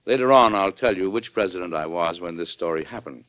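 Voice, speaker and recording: An actor playing a genial, plain-spoken president who tells his own story in a measured, folksy way in an old-time radio drama. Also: low voice, close to an everyday voice. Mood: measured storytelling. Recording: dull old radio recording, background music.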